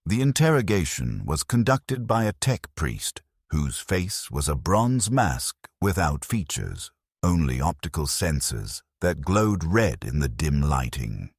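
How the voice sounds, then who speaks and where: Male narrator, grave scripted storytelling: cavernously low, expressive intonation, dry close sound. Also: unhurried, breathy edge. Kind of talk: dramatic first-person scripted narration.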